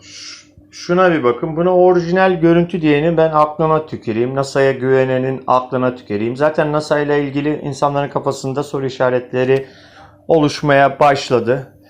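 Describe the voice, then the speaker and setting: Guy doing casual video commentary, bit higher pitched, opinionated, talks right at the viewers. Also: little pitch movement, brisk. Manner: opinionated, rhetorical questions, addresses viewers directly. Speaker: video host, amateur presenter.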